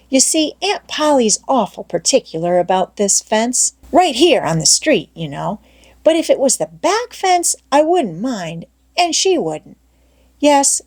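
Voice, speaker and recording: A woman's voice: high-pitched, darkish tone, voice pushed up, full character voice. A woman voicing a sly, folksy boy. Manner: folksy rural dialect, drawn-out hedging. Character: sly boy feigning reluctance, folksy and cagey. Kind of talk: audiobook character voice.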